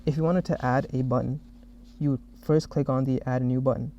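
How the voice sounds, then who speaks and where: Man, mellow mid-pitched voice, developer walking through a software demo, slightly muffled lo-fi recording. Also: steady tone, fairly quick. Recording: dull, muffled lo-fi audio.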